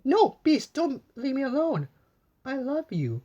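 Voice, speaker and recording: A man reading a storybook aloud, voicing a kind young woman in a soft, pleading voice that climbs high when she is upset. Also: very animated, unhurried, lo-fi sound, exaggerated voice.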